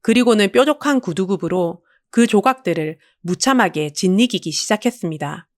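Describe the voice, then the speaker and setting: A woman delivers dramatic scripted audiobook narration. Her pitch moves widely through each sentence, and the recording is dry and close, with no room sound. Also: expressive, normal speed, no room sound.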